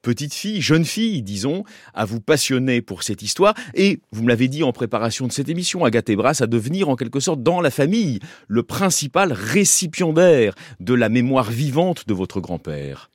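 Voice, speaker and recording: A man's voice: medium pitch, big pitch swings, moderate pace, few pauses, dry recording, clean studio sound. A man hosting a radio interview, articulate and formal, with elaborate phrasing. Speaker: radio host.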